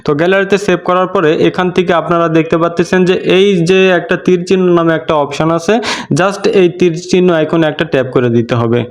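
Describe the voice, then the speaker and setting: A man's voice: sits high, fast talker. A man narrating a step-by-step app tutorial in a repetitive, instructional way. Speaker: tutorial host.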